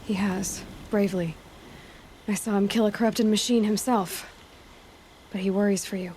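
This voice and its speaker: An actress playing a young, self-assured huntress heroine in a video game, with a wry, conversational delivery. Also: female voice, mid-range voice, steady tone, normal speed, darkish tone, a little hiss or hum, natural voice, rough recording. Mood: confident, wry. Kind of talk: naturalistic video game acting. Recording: faint music behind the voice.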